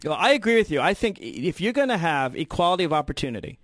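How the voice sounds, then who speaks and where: Higher-pitched American man hosting talk radio. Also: sing-song, fast talker, a bit bright. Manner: emphatic, rhetorical, conversational. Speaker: radio call-in show host. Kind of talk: political commentary on a call-in show.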